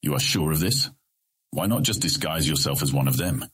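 An actor playing a stern, impatient fantasy guildmaster in an audiobook drama, curt and commanding. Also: male voice, extremely low pitch, expressive, brisk, a bit bright, slightly rough, heavily acted. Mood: demanding, scheming.

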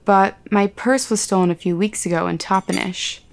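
A woman's voice: mid-range voice, fairly even intonation, normal speed, creaky, close to an everyday voice. An actress playing a guarded, direct woman in her late thirties in an audio drama, speaking dryly. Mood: guarded, questioning.

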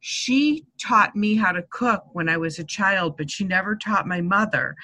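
A woman's voice: medium pitch, expressive, normal speed. Chatty older woman with a Boston accent. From Connecticut, USA. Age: 60s. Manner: chatty, warm storytelling, laughs often. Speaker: chef, interview guest. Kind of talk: casual conversation.

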